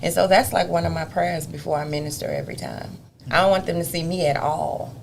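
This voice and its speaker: A deep-voiced woman talks about her life as an interview guest in a lively, expressive way. She laughs easily. A faint hum of noise sits behind her voice. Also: fairly quick, vocal fry. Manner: expressive, laughs often, animated storyteller. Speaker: gospel singer and guest. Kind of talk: conversational interview storytelling.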